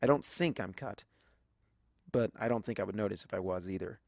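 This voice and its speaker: A man's voice: lowish voice, brisk, gravelly, a little mellow, no room sound, clean studio sound. A man reading a story aloud as a dramatic first-person narration.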